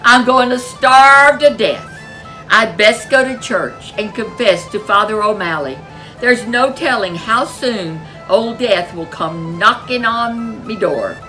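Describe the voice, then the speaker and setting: A woman voicing a lazy, whining man, who complains loudly. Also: on the high side, animated, slow pace, faint music behind, voice pushed up, full character voice, rough recording. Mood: wailing. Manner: whiny, complaining.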